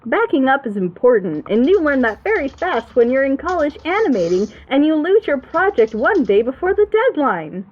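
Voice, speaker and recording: High-voiced woman on a tinny voice call. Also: big pitch swings, normal speed, hardly any pauses, a little mellow. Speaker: host of a group reaction show. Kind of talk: casual group chat, giving advice. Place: online group voice call. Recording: thin, band-limited voice chat audio.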